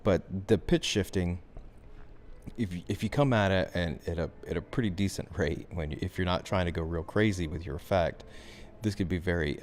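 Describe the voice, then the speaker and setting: A man giving a software tutorial as instructor, talking through the steps in an informal, conversational way. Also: low-pitched, a little hiss or hum.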